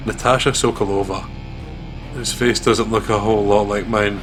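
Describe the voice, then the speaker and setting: A man with a slightly low voice narrates a visual novel as a let's play host. His reading is dramatic, with clear music behind it. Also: fairly even intonation, moderate pace.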